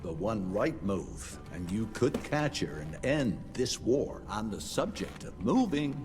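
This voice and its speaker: A man's voice: on the low side, very animated. An old fatherly patriarch. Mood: grave. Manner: measured, slow, grows intense. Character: old male institute patriarch, fatherly and haunted. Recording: game audio with loud music.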